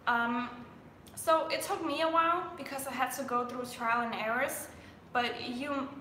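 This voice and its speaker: Chatty young Danish woman, bright voice. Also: high voice, fairly even intonation, a little slow, reverberant, slight background noise, poor audio. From Denmark. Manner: chatty, filler-heavy, restarts often. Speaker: fitness coach. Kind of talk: live Q&A stream.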